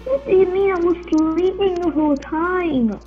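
A woman in a casual online roleplay, excitable and repeating words. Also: extremely high pitch, moderate pace. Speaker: roleplay player voicing a sibling. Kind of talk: improvised roleplay chat. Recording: muffled audio under game music.

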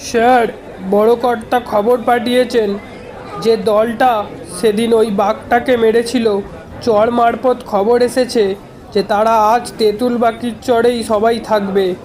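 A woman's voice: on the high side, little pitch movement, takes it slowly, a little mellow, a faint crowd. A woman voicing a dutiful forest guard who reports formally to a superior in a cartoon story. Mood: dutiful, urgent. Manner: formal, deferential.

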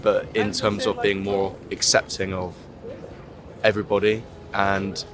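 A man's voice: lowish voice, level tone, measured pace, music in the background. A young man from the West Country, a university student, earnestly answering a street interview outdoors. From West Country, England. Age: young adult.

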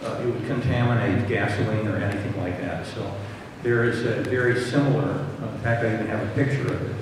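A man on a conference panel, explaining answers to audience questions in a relaxed way with many fillers. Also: on the low side, brisk. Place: conference hall panel table. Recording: far-off mic, echoey hall, background noise.

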